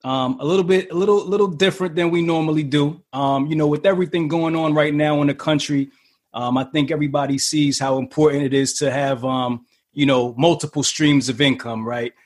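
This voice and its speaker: A man hosting an interview podcast, talking in a relaxed, casual way with lots of fillers and restarts. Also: a little high, fairly quick. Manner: laid-back, many fillers and restarts. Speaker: podcast host.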